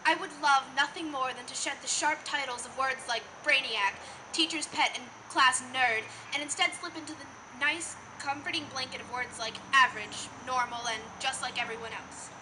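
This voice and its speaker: A woman's voice: high voice, moderate pace, hardly any pauses. A teenage young woman performing slam poetry live with an emphatic, earnest, rhetorical delivery. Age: teens. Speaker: slam poet. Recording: noticeable background noise, live event.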